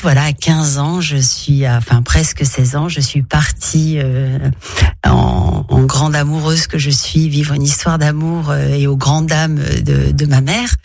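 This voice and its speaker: A woman's voice: sits low, vocal fry, darkish tone, no room sound, studio quality. A woman actress from Morocco, a guest on a radio interview, telling her life story with many hesitations and restarts.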